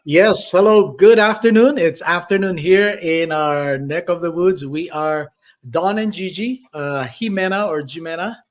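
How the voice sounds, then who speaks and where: A man's voice: high-pitched, unhurried. A man with a Filipino accent giving conversational interview answers as a guest coach, feeling his way through phrases and checking in with "right". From Philippines. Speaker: podcast guest, online business coach.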